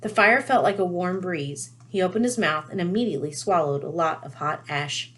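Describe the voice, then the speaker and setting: Female storybook narrator, read-aloud delivery, voice a touch on the low side. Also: moderate pace, fairly bright tone, low-quality recording.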